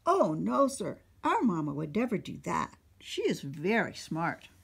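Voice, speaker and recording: A woman's voice: lowish voice, big pitch swings, a little slow, gravelly, slightly rough, heavily acted. A woman voicing lost young children who earnestly insist on how wonderful their mother is.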